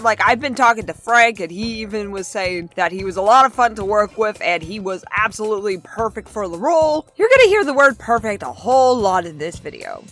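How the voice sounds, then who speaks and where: A woman hosting a fan commentary vlog, talking in a gushing, excitable way and repeating herself for emphasis. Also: mid-range voice. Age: adult. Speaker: YouTube fan channel host. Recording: music behind the voice. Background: background music.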